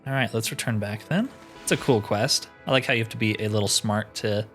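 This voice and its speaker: A man's voice: fairly low pitch, brisk, fairly bright tone, soft background music. A man doing casual gameplay commentary, thinking aloud and musing to himself as he narrates a role-play playthrough.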